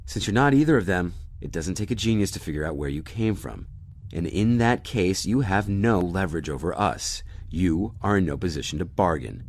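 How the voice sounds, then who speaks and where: A man acting as a stern starship captain conducting an interrogation in a sci-fi audio drama. His low voice swings with emphasis, clipped and authoritative, over faint ship noise. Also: darkish tone. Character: stern male spaceship captain, commanding, controlled interrogator.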